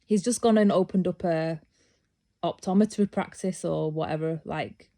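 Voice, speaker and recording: A British woman speaks in a fairly low, warm voice, talking straight to her viewers. She rambles, restarting sentences often. She turns insistent and emphatic as she presses her points. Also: normal speed. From Britain. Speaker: vlogger. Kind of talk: casual opinion monologue.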